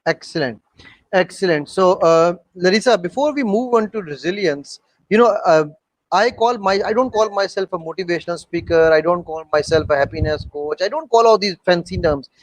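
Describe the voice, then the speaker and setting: A male host on a video call with a rather high voice. He leads into his questions in a rambling way, and his sound is close and dry. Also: animated, normal speed, no echo, low-quality recording. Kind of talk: hosting a panel talk.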